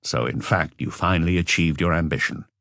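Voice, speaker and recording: A man voicing a calm, probing police detective who questions blandly and with measured delivery in an audiobook. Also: sits low, vocal fry, dry recording, clean studio sound.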